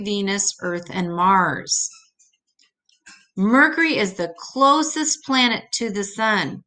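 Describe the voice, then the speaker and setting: A woman's voice: medium pitch, sharp tone. An older woman reading an educational children's book aloud, adding simple, patient explanations as she goes.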